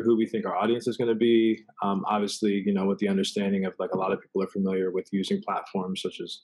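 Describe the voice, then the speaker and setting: A man's voice: on the low side, poor audio. A man explaining his work as an interview guest in a casual, rambling way, full of verbal tags. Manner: rambling, peppered with "you know" and "right". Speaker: product designer, interview guest.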